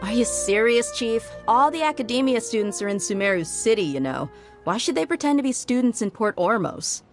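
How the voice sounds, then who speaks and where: A woman's voice: fairly high pitch, expressive, fairly quick. Savvy young female guard from a video game, candid, conversational, music playing behind. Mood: incredulous. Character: frank, savvy young woman mercenary guard.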